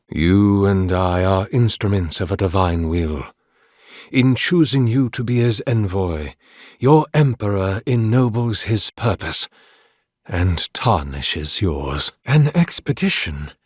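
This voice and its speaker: A man's voice: on the low side, a little mellow, dry recording, clean studio sound. A man playing a devout, grandiose male oracle in a video game, speaking in a solemn, florid, sermonizing way as a zealous, mournful servant of a god. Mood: solemn, reflective. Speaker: video game character voice actor. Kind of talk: theatrical scripted game dialogue.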